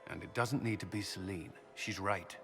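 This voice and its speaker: An actor playing a blunt, wary military commander in a video game, speaking firmly and directly. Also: male voice, sits low, normal speed, gravelly, bright, forceful tone, soft background music, full character voice. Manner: direct, firm. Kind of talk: video game cutscene acting. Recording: long hall reverb.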